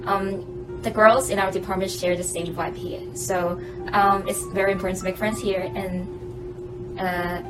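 A woman's voice: mid-range voice, level tone, moderate pace. A young adult woman, a student guest who chats easily and laughs often. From Hong Kong. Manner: chatty, laughs often, hesitant fillers. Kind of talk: podcast interview. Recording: distant mic with loud music bed.

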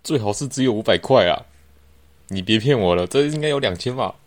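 A man's voice: on the low side, animated, a little fast, close to an everyday voice. A teenage boy playing a sly young man who feigns innocence, in an amateur radio drama. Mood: haggling.

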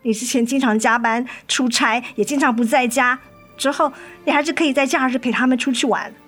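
Betrayed wife and mother for radio drama: fairly high voice, tired but unbending, reproachful. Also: fairly even intonation, a little fast, fairly bright tone. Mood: resolute. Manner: firm, reproachful. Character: weary, resolute wife and mother confronting her cheating husband. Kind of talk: Mandarin radio drama acting. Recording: studio radio drama with music underneath.

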